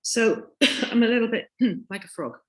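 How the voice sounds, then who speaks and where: Woman presenter, slightly high voice, croaky, insistent pitch over a video call. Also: measured pace. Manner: insistent, repeats points emphatically. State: croaky from illness. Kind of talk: sales pitch.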